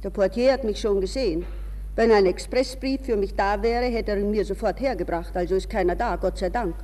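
A woman's voice: medium pitch, brisk, mellow, big-room sound, close to an everyday voice, rough recording. A woman playing a restless, ironic young society lady, musing to herself in a radio drama monologue. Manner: musing, self-questioning, shifting between reverie and mockery.

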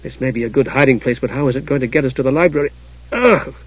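A gentle young mage from a fantasy audiobook, acted by a man, earnest and slightly uneasy. He speaks with restraint in a husky, medium-pitched voice. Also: fast, dull audio, close to an everyday voice. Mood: doubtful.

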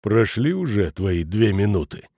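Man acting out furious hissing brute, slow and menacing. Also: fairly low pitch, husky, dry recording, studio quality, pitched below the performer's own voice, full character voice. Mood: muffled menace. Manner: hissing, growling through clenched teeth, drawn-out threats. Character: furious, menacing brutish man demanding his money.

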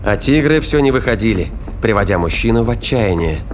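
A man's voice: lowish voice, expressive, brisk, a little hiss or hum. A man narrating a sci-fi thriller audio drama in a scripted storytelling style. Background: music and sound effects.

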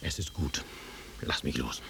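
An actor playing a volatile, nostalgic country-bred man in a German radio drama, brooding and taunting, with a rough husky voice that swings from muttering to outbursts. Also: measured pace, bright, forceful tone, slight background noise. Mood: subdued, muttering.

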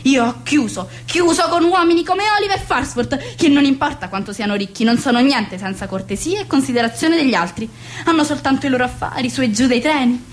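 A woman's voice: high voice, fairly quick, close to an everyday voice. A woman playing a fussy, theatrical middle-aged society hostess and mother in a radio comedy set in the 1920s. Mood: indignant, ranting. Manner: theatrical, exasperated, grandiose. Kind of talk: radio play acting of a 1920s stage comedy.

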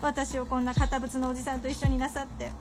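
A woman's voice: high voice, level tone, fast talker, music in the background, natural voice. A woman playing a genteel, self-possessed doctor's wife with a teasing streak, speaking refined, formal lines in a period radio drama. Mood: playful, teasing.

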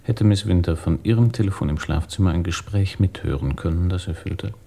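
A sober investigator in a radio play speaks in a low, husky voice. He is terse and probing, with a dark tone and little ornament. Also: brisk. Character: sober male police investigator questioning a witness. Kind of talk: German radio drama acting.

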